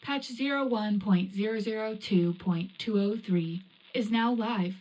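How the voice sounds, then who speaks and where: A businesslike wartime radio correspondent played by a woman. She reads scripted tech news in a medium-pitched voice. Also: unhurried, a little mellow, natural voice, rough recording. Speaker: tech update presenter.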